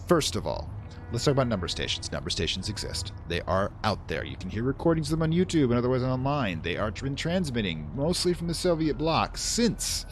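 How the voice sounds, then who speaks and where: A man's voice: mid-range voice, big pitch swings, fairly quick, slight background noise. A man hosting a let's play, casually commenting in a wry, rambling way and reading in-game text aloud theatrically.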